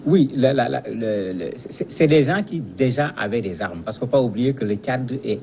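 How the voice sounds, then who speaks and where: A man's voice: mid-range voice, animated, moderate pace, gravelly, dark tone. Man explaining as analyst, faint background noise. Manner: didactic, repeats words as they search for phrasing. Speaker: guest analyst. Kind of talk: explanatory commentary in a TV news interview.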